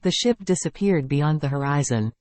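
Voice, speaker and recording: A speaker reading short example sentences for an English lesson in a clear, measured way. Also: medium pitch, expressive, darkish tone, no echo. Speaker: English teacher.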